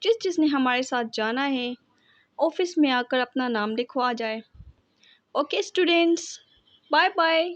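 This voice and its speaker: A woman's voice: high-pitched, measured pace, dry recording, muffled recording. A woman voicing a cheerful, organised female schoolteacher in a cartoon, announcing things to a class.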